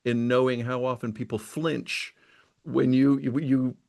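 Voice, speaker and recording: A man's voice: mid-range voice, little pitch movement, measured pace, gravelly, darkish tone. A middle-aged man hosting an interview, conversational and prone to stumbling into his questions. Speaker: podcast host. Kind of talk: casual interview.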